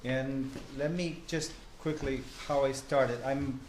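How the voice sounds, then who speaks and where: A man with an Argentine accent gives an informal lecture in English, his second language. He speaks in halting phrases with frequent restarts, at a middling pitch. The echoey room and a faint hiss come through behind him. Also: fairly even intonation. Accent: Argentine Spanish. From Argentina (Buenos Aires). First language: Spanish. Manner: halting, many fillers and restarts, asks the room questions. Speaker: guest speaker, journalist and professor.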